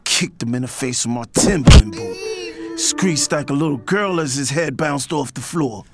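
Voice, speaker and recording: A man's voice: medium pitch, lively intonation, moderate pace, lightly acted. An American man playing a hot-tempered, swaggering record label boss who narrates his own story in brash slang for an audio drama. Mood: menacing, cocky. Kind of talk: first-person audio drama narration with dialogue. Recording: loud music behind the voice.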